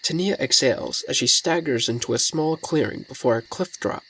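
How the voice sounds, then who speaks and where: A man's voice: mid-range voice, animated, moderate pace, vocal fry, pushed and bright, a little hiss or hum, rough recording. A man narrating a scripted fantasy story in the present tense as its narrator. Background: faint music.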